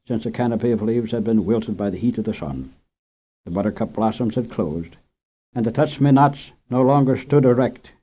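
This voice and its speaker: A man reading a short story aloud in an expressive, unhurried way as the storyteller of a children's radio program. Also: medium pitch. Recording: muffled old radio recording.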